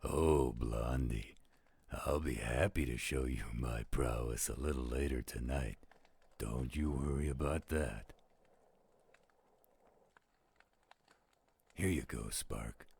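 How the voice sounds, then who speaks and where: Man playing a very deep, gravelly werewolf. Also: a little mellow, full character voice. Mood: teasing, playful. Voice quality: gravelly, husky. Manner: slow, dramatic, playful. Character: teasing flirtatious male werewolf, theatrical campfire storyteller. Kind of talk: audio roleplay acting with dramatic poem recitation.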